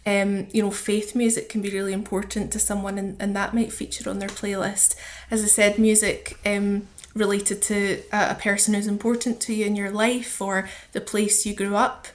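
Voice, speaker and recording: Female charity guest, Scottish accent, mid-pitched and level in intonation, informal and explanatory interview delivery. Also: level tone, normal speed.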